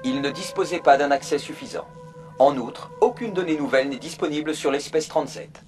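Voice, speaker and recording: Man playing an impassive, higher-pitched computer assistant. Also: brisk, over music, lightly acted. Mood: impassive, procedural. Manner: formal, procedural, emotionless. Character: polite, impassive virtual assistant program at a corporate console. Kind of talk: French video game dub, formal information readout.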